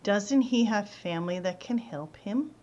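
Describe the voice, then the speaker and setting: A woman's voice: medium pitch, animated, a little slow, a little mellow, muffled recording, lightly acted. A woman playing an affectionate, wry wife in a radio play. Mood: fond teasing. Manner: wry, teasing. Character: teasing, affectionate working-class Irish-American wife.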